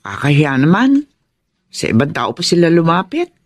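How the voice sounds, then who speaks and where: Filipino radio drama worried older mom, very animated pitch, chides to keep the peace. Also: female voice, normal speed. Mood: chiding, conciliatory. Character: concerned, conciliatory elderly mother of grown children.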